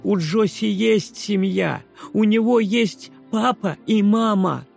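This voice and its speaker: Guy putting on a high loud upset kid, shouting and pleading, raspy edge. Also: slow pace, soft background music, heavily acted. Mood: distraught. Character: upset young boy, tearful and defiant.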